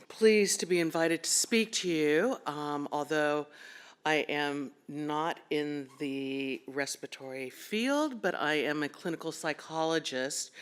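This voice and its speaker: A woman's voice: low-pitched, clean tone. A woman clinical psychologist, giving a conversational conference talk with frequent ums and self-corrections.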